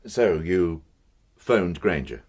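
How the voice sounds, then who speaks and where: A gentlemanly English detective in a radio drama. He is low-pitched and methodical, with formal phrasing and lively intonation. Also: slow pace, full character voice. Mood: probing, restrained. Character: courteous, measured English police inspector, quietly reluctant. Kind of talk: radio crime drama acting.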